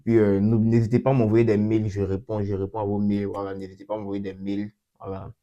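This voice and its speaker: A young man hosting his channel and talking through a coding demo in a casual way, with a cold that makes his voice a bit off. He repeats himself often and fills pauses with hesitations. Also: lowish voice, completely flat delivery, warm tone, dull audio. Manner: repeats himself, many fillers and restarts. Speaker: channel host. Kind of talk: casual screen-recorded coding walkthrough.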